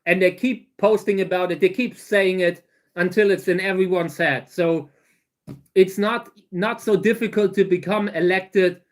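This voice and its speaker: A German man in his thirties with a German accent, answering viewer questions on a casual livestream, halting and restarting his sentences often. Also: high-pitched. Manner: halting, many restarts and fillers. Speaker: livestream host.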